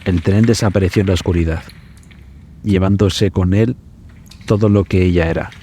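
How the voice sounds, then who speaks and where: Low, mellow male horror narrator. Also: steady tone, normal speed, slight background noise. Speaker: story narrator.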